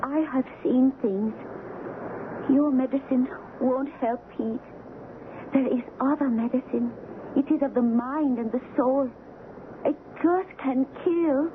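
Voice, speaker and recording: High-voiced, solemn, worried island woman. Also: slow pace, narrow, tinny audio. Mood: solemn, ominous. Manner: earnest, solemn pleading. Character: worried, superstitious island woman, captain's devoted lover. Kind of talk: radio drama acting. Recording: thin, noisy old radio broadcast.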